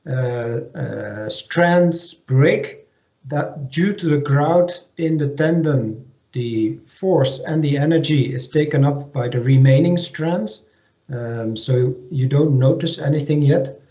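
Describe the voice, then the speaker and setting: A man giving an online technical presentation as a technical manager, speaking hesitantly with many pauses and restarts. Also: on the high side, a little mellow. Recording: echoey lo-fi video call audio.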